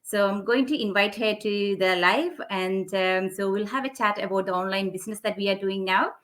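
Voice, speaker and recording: A woman's voice: medium pitch, normal speed, a bit bright. A woman hosting a live online interview, speaking casually and a little hesitantly, with many fillers.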